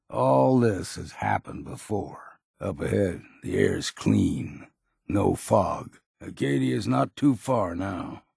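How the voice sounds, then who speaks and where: Very gravelly old backwoods hunter. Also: male voice, sits low, a little mellow, no room sound, studio quality, exaggerated voice. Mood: grizzled, matter-of-fact. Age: older. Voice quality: gravelly, raspy. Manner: folksy, dropped endings, laconic. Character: gruff old hard-drinking male hunter and fog guide. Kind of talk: video game character acting.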